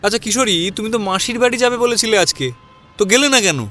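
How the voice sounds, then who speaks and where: A man's voice: sits high, quick pace, sharp tone, long reverb tail. A man narrating a scripted audio story in the first person, dramatising it as he goes.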